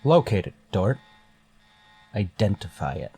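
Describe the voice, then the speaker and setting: A man's voice: low-pitched, very animated, a little slow, dark tone, breathy edge, no room sound, soft background music, exaggerated voice. An actor playing a stern, wary male starship captain in a sci-fi radio drama, with a clipped, commanding manner. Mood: brisk command. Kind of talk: golden age sci-fi radio drama acting.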